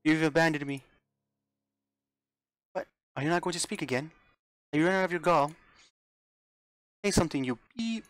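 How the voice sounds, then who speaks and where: Male reader doing a clingy, unhinged young woman; raised pitch, dry close sound, stuttering pleas. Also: steady tone, moderate pace, pauses often, no echo, close to an everyday voice. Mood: anguished. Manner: pleading, sobbing, stumbling restarts.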